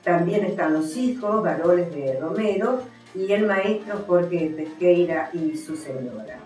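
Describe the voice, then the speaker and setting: A woman with an Argentine accent presenting her books as an author, in a deliberate, explanatory way. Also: on the low side, expressive, a little mellow, soft background music. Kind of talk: book presentation, explanatory talk with some reading. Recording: echoey, somewhat distant from the mic.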